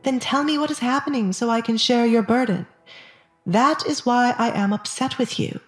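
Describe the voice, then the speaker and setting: A woman playing a sharp-tongued, calculating wife who speaks insistently in an audio drama. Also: on the high side, moderate pace, soft background music, voice pushed up, close to an everyday voice. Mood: concerned, coaxing. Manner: scolding, insistent.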